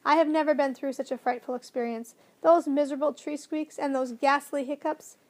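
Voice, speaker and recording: Storybook old professor, played by a woman: kindly, fussy, grandfatherly, exclamatory, high for the part. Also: moderate pace. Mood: weary, earnest. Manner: fervent, exclamatory, gently lecturing.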